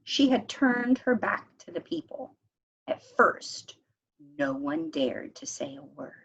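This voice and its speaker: A woman teacher reading a story aloud expressively, with deliberate dramatic pauses and hushed phrases. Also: on the low side, very animated, slightly rough, muffled recording.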